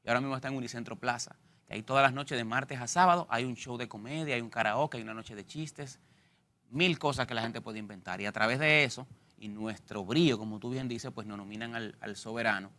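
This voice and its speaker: A man's voice: mid-range voice, a little fast. A man in his thirties with a Dominican accent, a stand-up comedian talking animatedly as a guest on a studio interview show. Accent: Dominican Spanish. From Dominican Republic. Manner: gestures with his hands, lively and joking. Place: TV studio set.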